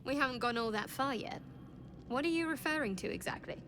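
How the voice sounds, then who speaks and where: A woman's voice: sits high, expressive, fairly quick, background noise. An actress playing a guarded, secretive young adventurer woman in a fantasy video game, reserved and dryly wry. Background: game music.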